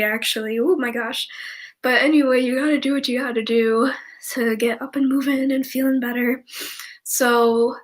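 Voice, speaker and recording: Congested young woman, slow chatty. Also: sits high, roomy sound. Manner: chatty and rambling, many ums, laughs easily. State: congested, stuffy nose. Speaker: stretching instructor. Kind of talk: casual live stretching class. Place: home room, indoors.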